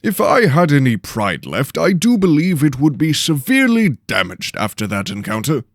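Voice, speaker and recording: A man's voice: on the high side, big pitch swings, normal speed, no room sound, clean studio sound, pitched above the performer's own voice. A man voicing an eager, pompous lord with a theatrical, self-important manner in a fantasy audio drama. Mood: indignant, pompous. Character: excitable, pompous, eager male lord and mentor.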